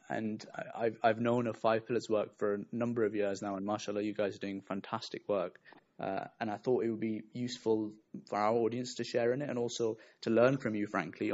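A man with a fairly low voice hosts an interview, circling his questions with plenty of fillers and restarts. His audio sounds slightly dull and muffled, as if over a remote link. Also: a little mellow. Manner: hesitant, many fillers and restarts. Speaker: podcast interviewer.

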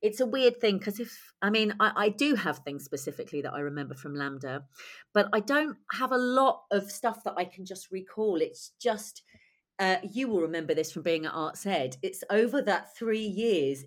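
A woman in her forties with a husky voice and a cold, talking in a lively, chatty way as an interview guest. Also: mid-range voice, moderate pace. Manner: chatty, lively, laughs often. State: has a cold. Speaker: guest writer and director. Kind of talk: conversational interview.

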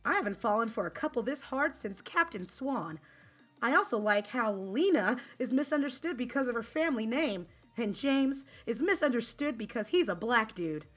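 A woman's voice: a little high, animated, normal speed, faint music behind. A woman co-hosting a casual podcast, playful and bubbly, often tossing in sound effects and little sung bits. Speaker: podcast co-host.

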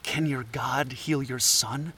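An actor playing an earnest captive anthropologist, speaking haltingly and persuasively in an audio drama. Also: male voice, medium pitch, steady tone, sharp tone, a little hiss or hum. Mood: earnest, pleading. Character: earnest scatterbrained male anthropologist, captive and pleading.

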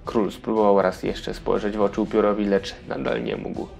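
A man's voice: on the low side, level tone, normal speed, creaky, a little mellow, soft background music. A man narrating a children's audio fairy tale in a scripted storybook style.

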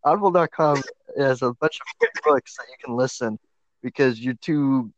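Male podcast co-host, goofy and crude, deadpan fake sponsor reads, dry muffled mic. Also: medium pitch, normal speed, dry recording. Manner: joking, crude, mock ad reads. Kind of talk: casual chat. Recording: dry, dull lo-fi audio.

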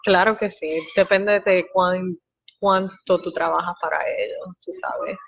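Woman, low, chatty. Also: steady tone, unhurried, gravelly, slight background noise, poor audio. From born in Guatemala, raised in Puerto Rico. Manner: chatty, mixes in English words. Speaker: air traffic controller guest. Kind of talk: casual interview chat.

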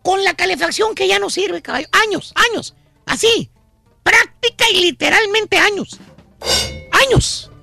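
A man playing a grumpy, stingy older man on a radio comedy show, whining about being frozen in a shrill, creaky put-on voice. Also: unhurried, sharp tone, no room sound, faint music behind, full character voice. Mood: grumbling. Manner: whiny, grumbling, salty slang.

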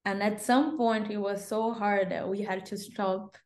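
A woman's voice: mid-range voice, fairly even intonation, measured pace, darkish tone. A woman guest sharing a personal story in a reflective way, often repeating words for emphasis. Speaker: guest, bride-to-be. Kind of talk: casual storytelling.

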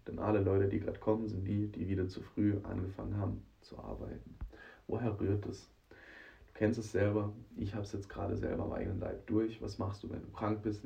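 A man's voice: fairly low pitch, mellow, far from the mic. Male podcast host with a cold, scratchy and nasal, chatty to the listener, recorded a bit off-mic and lo-fi. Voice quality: rough, scratchy voice. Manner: chatty, addresses listener directly, frequent "ne?". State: hoarse and congested from a cold. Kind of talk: casual solo podcast monologue.

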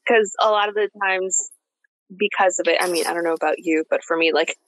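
A woman's voice: fairly low pitch, fairly even intonation, fairly quick, a bit bright, no room sound. A woman in her late twenties hosting a casual chat interview, informal and slangy as she talks. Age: late 20s. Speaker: podcast host, therapist.

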